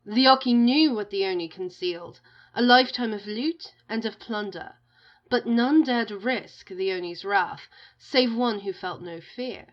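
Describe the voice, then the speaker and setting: A woman playing a solemn storyteller, reciting an old folktale in a measured, rhythmic way. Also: medium pitch, close to an everyday voice. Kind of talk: scripted storybook narration. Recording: slightly dull, muffled audio.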